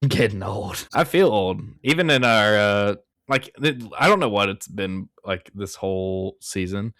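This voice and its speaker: A man's voice: fairly low pitch, lively intonation, unhurried, fairly bright tone, no room sound, clean studio sound. A young man in his twenties hosting a podcast, talking casually and rambling with lots of fillers. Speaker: podcast host. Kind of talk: casual chat.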